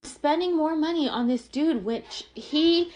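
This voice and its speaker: A woman's voice: sits high, a little slow, darkish tone, muffled recording. A woman telling a personal story in a casual, exasperated and emphatic way. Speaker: online small business owner.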